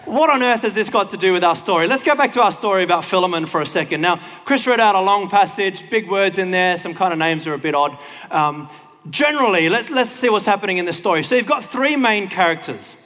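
An Australian man preaches in a fairly high voice, ringing through a hall with long reverb. He keeps it informal and chatty, like someone talking a group through a story. His delivery is wry and self-deprecating. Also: expressive, quick pace, bright, forceful tone. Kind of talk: casual conversational sermon to a congregation.

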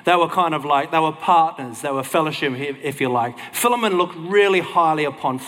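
A man's voice: on the high side, brisk, a bit bright. A man with an Australian accent preaching in a casual, conversational way, informal and wryly self-deprecating as he explains things to a congregation. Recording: long hall reverb.

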